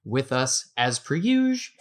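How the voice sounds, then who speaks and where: A man's voice: medium pitch, very animated, a little slow. A man hosting a podcast in casual conversation about film. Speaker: podcast host.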